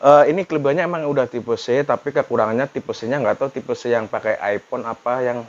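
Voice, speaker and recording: A man's voice: medium pitch, quick pace, muffled recording. A man giving a casual, informal product review, repeating himself as he chats to viewers like a vlogger. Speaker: vlogger reviewing motorcycle helmet intercoms.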